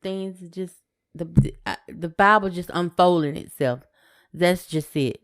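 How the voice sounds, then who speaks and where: A woman chatting casually as a podcast co-host, in halting, repetitive phrases. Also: fairly low pitch. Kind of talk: casual conversational chat.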